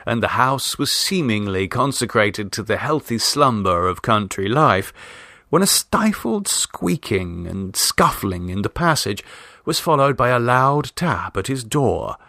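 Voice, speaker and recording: A man's voice: medium pitch, very animated, a little slow, pushed and bright, no echo, studio quality. A man giving a scripted narration of a classic short story as an audiobook reader.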